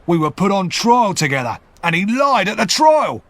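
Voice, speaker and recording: A man voicing a rough, angry escaped convict who shouts defiantly. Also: high voice, big pitch swings, moderate pace, no room sound, pitched above the performer's own voice. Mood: scornful.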